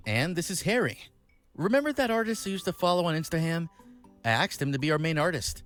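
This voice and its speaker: A man's voice: a little high, lively intonation, a little fast, a bit bright, faint music behind. An actor playing an earnest, friendly young male startup founder, explaining supportively in a visual novel game. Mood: earnest, proud.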